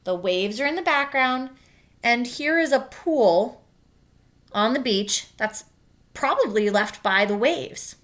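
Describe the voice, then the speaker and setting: A woman teacher reading a children's book aloud and pausing to explain it in simple words for young pupils. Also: a little high, animated, a bit bright.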